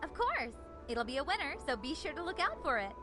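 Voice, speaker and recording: A man's voice: very high voice, big pitch swings, a little fast, music in the background, voice pushed up. A man voicing a bubbly, pushy young woman reporter in a visual novel, with a breezy, gushing, theatrical delivery. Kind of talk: visual novel character voice read-aloud.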